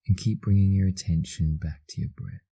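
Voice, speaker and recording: Australian man, meditation instructor. Deep, very soft dark tone, soothing delivery with long pauses. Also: steady tone, no room sound. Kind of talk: guided meditation narration.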